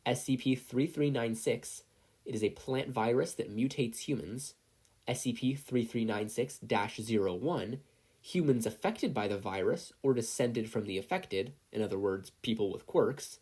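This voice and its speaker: A person narrating fan fiction like an audiobook, expressive, with casual asides. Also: mid-range voice, normal speed, husky. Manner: animated, expressive storytelling. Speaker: podfic reader, narrator.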